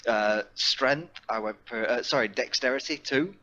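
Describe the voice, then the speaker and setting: A man's voice: medium pitch, moderate pace, sharp tone, dull audio. A man talking casually on an online call as a player in a tabletop game. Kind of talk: casual chat during a game.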